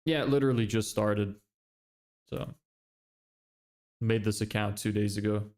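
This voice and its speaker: Young man, fairly low voice, from Lithuania, raised in Ireland, casual stream chat, rambling restarts. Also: measured pace. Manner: relaxed, rambling, with many restarts. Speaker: livestreamer. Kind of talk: casual livestream chat, reading chat.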